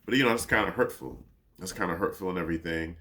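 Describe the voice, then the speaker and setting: Deep-voiced man musing in a relaxed way. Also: quick pace. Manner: laid-back, rambling, heavy on fillers. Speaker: podcast host. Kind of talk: personal reflective monologue.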